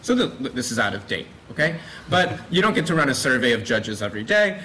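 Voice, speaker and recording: A man's voice: medium pitch, big pitch swings, sharp tone, poor audio. Man on a panel adding a point, lots of ums, faint room hum. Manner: measured, many fillers. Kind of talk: panel discussion.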